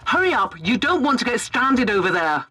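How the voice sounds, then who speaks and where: A woman playing an anxious, dutiful starship captain in a sci-fi video game, with a husky voice and urgent manner. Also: fairly high pitch, sing-song, moderate pace, pushed and bright, no room sound, exaggerated voice. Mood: urgent, worried. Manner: urgent, questioning.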